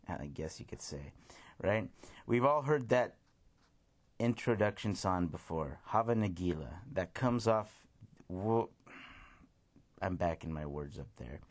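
A man hosting his own music radio show, talking casually and rambling as he thinks aloud, with restarts and shout-outs to his listeners. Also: low voice. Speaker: podcast host.

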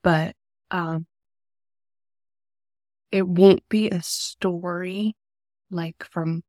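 A woman's voice: fairly low pitch, steady tone, dry recording. A young woman hosting a faith podcast, sounding nasal and congested from a cold, talking earnestly into a close podcast mic. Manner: soft, unhurried, earnest. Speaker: podcast host. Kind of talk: faith podcast talk and prayer.

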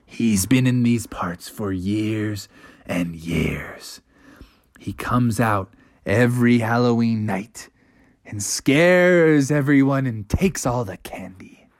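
A storyteller voicing a grave, mysterious father bear in a low, hushed whisper. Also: expressive, slow, no echo, clean studio sound. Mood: ominous.

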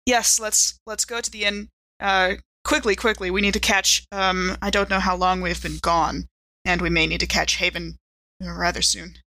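Bright-voiced woman playing a tabletop game. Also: fairly low pitch, little pitch movement, moderate pace, no echo. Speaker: player in a tabletop roleplaying game. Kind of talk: casual chat mixed with in-character play.

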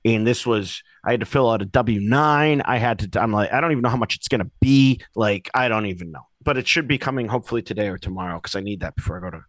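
A man's voice: on the low side, quick pace, hardly any pauses, dry recording. A man co-hosting a podcast, telling comedy-gig stories in a rambling, casual way with lots of restarts and asides. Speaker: podcast co-host. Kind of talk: casual chat. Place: lives in Boston.

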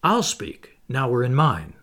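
A man's voice: mid-range voice, wildly swooping pitch, unhurried, fairly bright tone. A man voicing an impatient Canadian harpooner, furious at being held captive.

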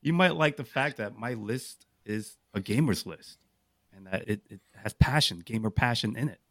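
A man's voice: on the low side, lively intonation, normal speed, a bit bright. A man co-hosting a podcast, talking casually and enthusiastically through his picks, often throwing out rhetorical questions. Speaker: podcast co-host. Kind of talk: casual chat, pitching a list of picks to the group.

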